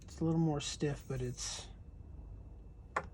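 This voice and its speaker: A man narrating his radio repair in a cold home workshop, thinking aloud in a relaxed way and murmuring as he works. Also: a little high, unhurried. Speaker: hobbyist radio restorer. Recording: noisy workshop ambience. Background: steady background noise.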